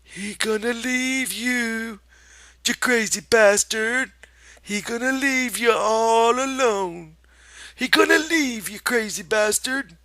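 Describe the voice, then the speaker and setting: A man voicing a giant imaginary rabbit that sneers and scolds in a high, harsh voice. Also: takes it slowly, sharp tone, no echo, exaggerated voice. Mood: taunting. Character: giant scornful imaginary rabbit, male, taunting.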